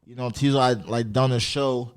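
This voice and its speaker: A man's voice: mid-range voice, fairly even intonation, moderate pace, clear, resonant tone, no echo. A man from France, a guest on a podcast, talking in a laid-back casual way with lots of slang fillers. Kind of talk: casual chat.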